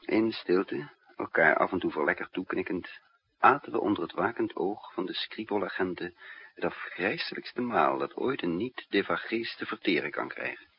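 An actor playing a shrewd, composed male spy who narrates and talks in a measured, wry way in a 1960s radio drama. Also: sits low, lively intonation, vocal fry, breathy edge, full character voice. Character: shrewd fugitive male spy, calm and teasing. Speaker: lead character and narrator. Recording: muffled noisy 1960s radio recording.

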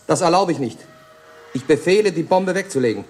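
A man's voice: a little high, animated, fairly quick, a little mellow, close to an everyday voice. An actor in a German radio play playing a steadfast party man who takes command, firm and sober in delivery.

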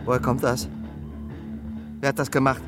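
An actor playing an aggressive, impatient neo-Nazi tattooist in a radio drama, sneering and barking. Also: male voice, medium pitch, completely flat delivery, normal speed, over music, natural voice. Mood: angry, agitated. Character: aggressive, bullying neo-Nazi tattooist, short-tempered ringleader.

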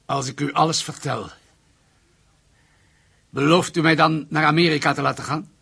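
A man acting in a radio play as an anxious captive ex-Nazi, guarded and deliberate as he pleads and confesses. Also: on the high side, steady tone, creaky, pushed and bright, husky, full character voice. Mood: guarded, pleading.

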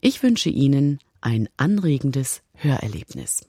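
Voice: mid-range voice, very animated, a little slow, warm tone, slightly rough, dry recording, studio quality. A radio presenter giving a scripted introduction to an audio drama.

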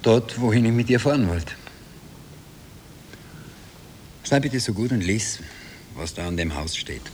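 A weary Viennese impostor husband acted by a man in an old radio drama. His low voice is terse and ironic, with an edge of exasperation, on a clearly noisy tape. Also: expressive, normal speed, darkish tone, natural voice. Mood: curt, resolute. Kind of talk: 1960 radio play acting.

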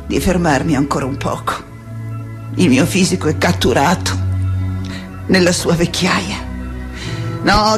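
An actress playing an anguished, defiant, aging woman scholar in a radio play, speaking in halting, impassioned repetitions. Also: medium pitch, close to an everyday voice. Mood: weary, wistful. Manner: halting, repeating words, impassioned. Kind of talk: dramatic radio theatre monologue. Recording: music under the voice. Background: music.

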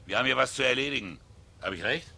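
An actor playing a brutish small-town bully in a German radio drama, raspy, coarse, taunting and threatening. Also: male voice, mid-range voice, expressive, fast talker, sharp tone, full character voice. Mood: gloating menace.

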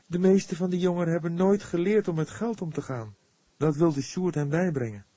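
A man's voice: on the high side, dark tone, no echo, pitched above the performer's own voice, close to an everyday voice. A man voicing a devout, earnest young woman who speaks solemnly in an audiobook detective story. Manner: solemn, pious, deliberate.

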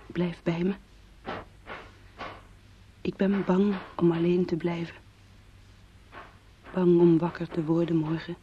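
A woman's voice: on the low side, little pitch movement, slow, long pauses, warm tone, slight background noise. An actress in a radio play, playing an anguished young woman who speaks in an intimate, imploring way of love and fear. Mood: fearful pleading. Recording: dull old radio play recording.

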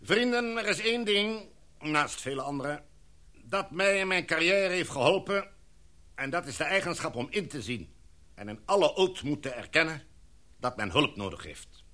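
A man's voice: fairly high pitch, expressive, bright, forceful tone. Een man die in een hoorspel een formele, gezaghebbende expeditieleider speelt en afgemeten, redenaarsachtig spreekt. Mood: urgent, oratorical. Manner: formal, oratorical, measured. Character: authoritative, formal expedition leader, a knighted gentleman. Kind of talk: radio drama acting.

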